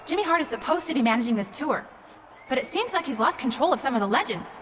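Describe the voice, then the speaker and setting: A woman voicing a brisk backstage interviewer in a wrestling game, on a noisy recording. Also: sits high, animated, over loud music. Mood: businesslike.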